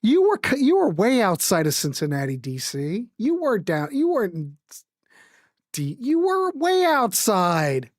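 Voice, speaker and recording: A middle-aged or older woman hosting a music show, chatting casually and commenting in an animated, rambling, informal way. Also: medium pitch, measured pace, no echo, studio quality.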